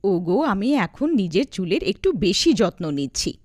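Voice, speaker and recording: A woman voicing a calm, reassuring young village wife in an animated folk tale. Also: mid-range voice, measured pace, no room sound, clean studio sound.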